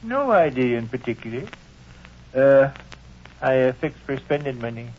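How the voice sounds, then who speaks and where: A man's voice: mid-range voice, sing-song, moderate pace, a little hiss or hum, lightly acted. An actor in an old-time radio comedy playing a sheepish, scheming father who speaks in stilted, flowery, hesitant phrases. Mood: coaxing, evasive. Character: sheepish scheming father, pompously wordy, coaxing his son. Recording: dull, muffled old radio recording.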